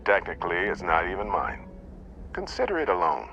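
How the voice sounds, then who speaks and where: A man's voice: low-pitched, huge pitch swings, a little fast, gravelly, bright, forceful tone, dull audio, soft background music, full character voice. An actor playing a genial, talkative male space explorer in a video game, with a jaunty, wry, easygoing manner. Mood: earnest, musing. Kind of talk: video game cutscene acting.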